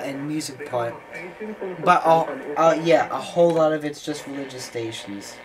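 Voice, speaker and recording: A man's voice: fairly high pitch, low-quality recording. A man giving a casual, unscripted monologue as a hobbyist host, informal and opinionated in his way of talking. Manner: informal, opinionated, mildly swearing. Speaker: shortwave radio hobbyist host.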